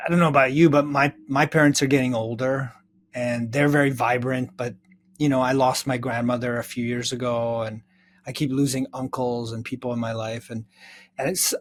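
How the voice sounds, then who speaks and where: A middle-aged man from Czechoslovakia speaking as an interview guest, earnest and reflective, haltingly building lists and rhetorical questions. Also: mid-range voice. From Czechoslovakia, moved to North America. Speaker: interview guest, psychotherapist and author.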